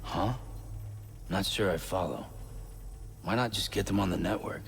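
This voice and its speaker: A man acting as a terse, skeptical courier in a video game, blunt and gravelly. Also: sits low, measured pace, a little hiss or hum. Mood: puzzled, skeptical. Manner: blunt, laconic.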